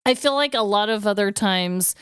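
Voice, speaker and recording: Female co-host, crisp medium-pitched voice, enthusiastic, casual comedic banter. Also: normal speed, a bit bright, no echo, studio quality. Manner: enthusiastic, playful. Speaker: podcast co-host.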